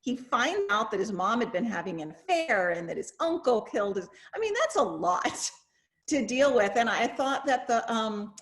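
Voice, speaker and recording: A woman's voice: fairly high pitch, sing-song, normal speed. A woman chatting informally and effusively as she hosts a discussion over a video call. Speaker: host, English professor leading a discussion. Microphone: video call microphone.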